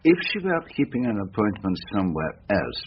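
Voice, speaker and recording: An actor playing a courteous, probing foreign detective with a French-speaking European accent and formal, deliberate, precise speech in a radio drama. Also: male voice, on the low side, big pitch swings. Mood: measured, inquisitive. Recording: muffled radio broadcast, hissy with clicks.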